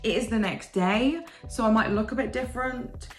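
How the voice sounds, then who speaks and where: A woman vlogger chatting casually to camera in a bubbly, gushing way, her voice a little croaky from the morning. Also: on the high side, moderate pace. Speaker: book vlogger. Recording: roomy room with faint music.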